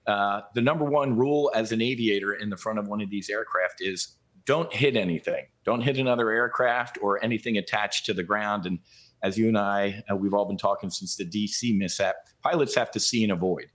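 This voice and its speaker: A man's voice: lowish voice, a little fast, a bit bright. A middle-aged American man giving blunt, colloquial commentary as a pilot guest in a live news interview.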